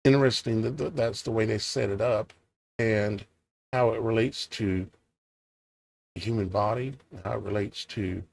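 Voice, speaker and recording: Tired gravelly man musing over scripture. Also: on the low side, fairly even intonation, darkish tone. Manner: thinking aloud, questioning, hesitant restarts; reads old scripture in a measured cadence. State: tired, voice starting to go. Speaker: online preacher. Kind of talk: scripture reading with musing commentary.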